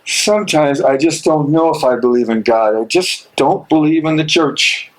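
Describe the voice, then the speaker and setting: A man's voice: a little high, moderate pace, close to an everyday voice, rough recording. A man acting a troubled but resolute imprisoned theologian in an amateur stage reading, anguished, brooding and hesitant. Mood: troubled, questioning.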